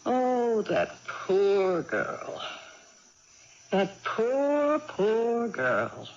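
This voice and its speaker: An actress playing a brisk, protective, fretful middle-aged mother of a farm family in a period radio drama. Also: mid-range voice, sing-song, full character voice. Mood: pitying. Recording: dull old radio recording, faint hiss.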